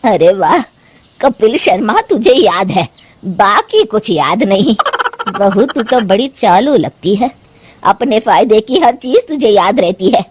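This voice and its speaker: A woman's voice: mid-range voice, lively intonation, takes it slowly, a little hiss or hum. A woman voicing an exasperated, scheming older mother-in-law in a cartoon story, with a scolding, theatrical delivery. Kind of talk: Hindi cartoon moral story acting.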